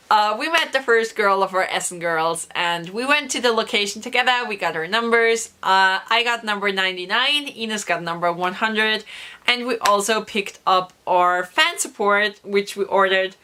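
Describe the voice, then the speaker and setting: A young woman vlogger with a hoarse voice from a recent cough, chatting through an excited story with many fillers. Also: medium pitch. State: hoarse, recovering from lost voice. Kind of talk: casual storytelling vlog.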